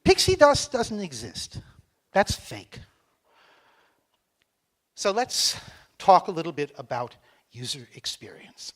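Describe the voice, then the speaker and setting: A middle-aged American man from Texas, giving a joking, chatty conference talk on stage and speaking slowly for a foreign audience. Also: sits high, wildly swooping pitch, a bit bright. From Texas, United States. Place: conference hall.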